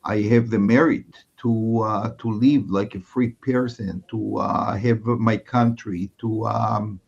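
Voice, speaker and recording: A man's voice: on the low side, fairly even intonation, slow, gravelly, a little mellow, dull audio. A man from Israel with an Israeli accent, a native Hebrew speaker, speaking reflectively as a guest rabbi and often searching for his words. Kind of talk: reflective conversation.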